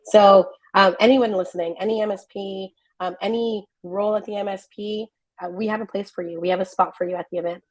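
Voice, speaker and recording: Woman, hoarse voice, event organizer, upbeat friendly explaining, video call guest. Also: medium pitch, fairly even intonation, fairly quick, rough recording. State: hoarse, lost voice.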